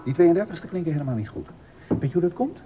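An actor playing a stern, exacting baroque composer and organist in a docudrama, emphatic and easily indignant. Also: male voice, animated, fast talker, faint music behind, natural voice. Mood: exacting, instructive. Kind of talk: docudrama radio acting. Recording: dull audio with rumbling noise.

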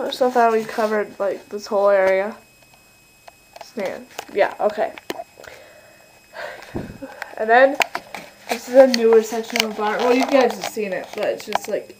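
A young woman giving a casual home video tour, halting and full of fillers, filmed on a handheld camera in her bedroom. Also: medium pitch, darkish tone, a little hiss or hum, poor audio. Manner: chatty, filler-heavy, halting. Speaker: channel owner giving a toy stable tour. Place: bedroom with toy horse stables.

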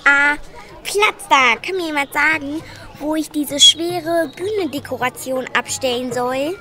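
A woman's voice: high voice, unhurried, pushed and bright. A woman voicing a busy dog carrying stage props in a children's radio play. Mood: harried.

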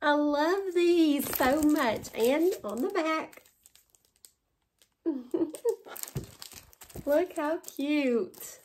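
Woman, 40s, Southern accent, haul vlogger; slightly high voice, unhurried, chatty and delighted, close camera in a living room. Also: a little mellow, a little hiss or hum. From West Virginia. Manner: gushing, chatty, laughs often. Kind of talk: casual show-and-tell haul. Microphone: camera in front at close range.

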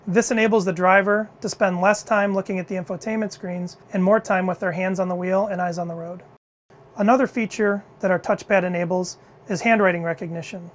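A middle-aged man leading product innovation, presenting a car cockpit demo in a measured, clear, scripted way in an indoor demo room. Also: high voice, fairly even intonation. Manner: measured, clear explanatory delivery.

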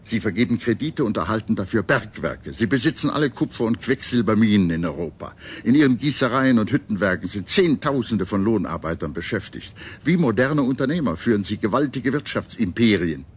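A man reading scripted history documentary narration in a measured, solemn and dramatic manner. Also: fairly low pitch, sing-song, breathy edge.

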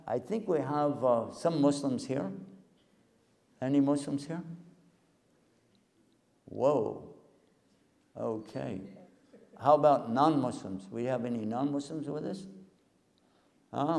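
A man's voice: medium pitch, creaky, mellow. An older man from Texas, preaching a humorous lecture to a live audience on a handheld mic in a large hall, folksy and joking. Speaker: Islamic preacher and TV host. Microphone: handheld mic, far camera. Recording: long hall reverb.

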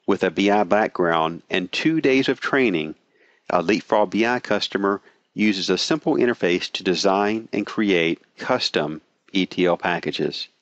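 Deep-voiced man narrating a product pitch. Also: unhurried, dry recording. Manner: steady, even sales delivery. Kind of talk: scripted promotional voice-over. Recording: dry, slightly dull voice-over.

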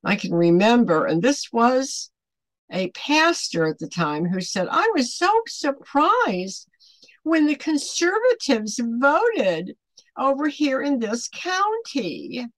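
An older woman in her seventies leads an online Bible study in an earnest, questioning way. She speaks slowly, with lively swings in pitch that stress her points. The sound is dry and close, as in a small room. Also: dry recording, rough recording. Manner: earnest, deliberate, emphatic questioning. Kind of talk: devotional teaching to camera, with pointed questions. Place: small home room, indoors.

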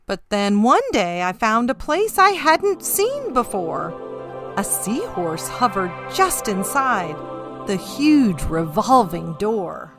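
A woman's voice: medium pitch, takes it slowly, over music, voice pushed down. A woman voicing a puzzled male octopus telling his tale in sing-song picture-book rhyme. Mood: curious. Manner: expressive, sing-song rhyming delivery. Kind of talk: rhyming picture-book read-aloud.

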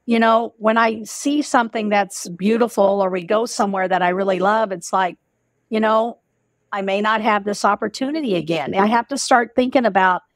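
A woman's voice: medium pitch, moderate pace, dry recording. A woman in her sixties talks over a webcam from her home, in a mid-range voice. She muses reflectively, often reaching for "you know". The sound is dry and close. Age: 60s. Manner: reflective, musing, with many you-knows. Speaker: host. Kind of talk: casual interview chat. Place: home room. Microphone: video call webcam.

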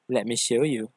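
A man's voice: mid-range voice, background noise, natural voice. A man playing an affectionate, theatrical young boyfriend who teases and coaxes in an ASMR roleplay. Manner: theatrical, teasing, coaxing. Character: playful, affectionate, teasing young femboy boyfriend.

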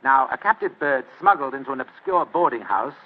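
A man's voice: mid-range voice, expressive, a little fast, natural voice. An actor playing a dry, languid British man who plots from his armchair in an old radio drama. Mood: wry. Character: wry idle older male mastermind brother. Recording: tinny old radio broadcast.

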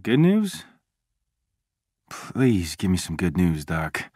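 Weary male game hero, laid-back and dry-witted, slow-talking, with a rough husky tone. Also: deep voice, wildly swooping pitch, a little mellow, no echo, full character voice. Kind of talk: video game voice acting.

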